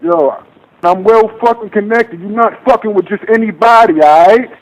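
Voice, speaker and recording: A man's voice: high-pitched, lively intonation, moderate pace, vocal fry, rough recording. A man playing a cocky, combative braggart who taunts and swears, in soundboard clips on a prank call. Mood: taunting, combative. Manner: taunting, profane, challenging.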